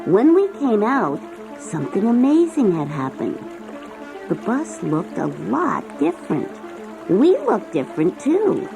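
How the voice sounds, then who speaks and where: A woman narrating a scripted children's story in an expressive storytelling manner. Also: mid-range voice, a little slow, vocal fry, rough recording. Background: music.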